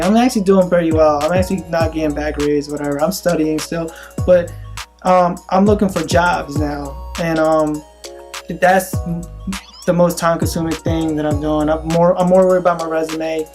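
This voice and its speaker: A man's voice: on the high side, normal speed. Chill college guy talking loose, says man a lot, light music in the back. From Philippines. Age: young adult. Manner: relaxed, laughs often, says man a lot. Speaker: co-host. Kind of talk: casual chat.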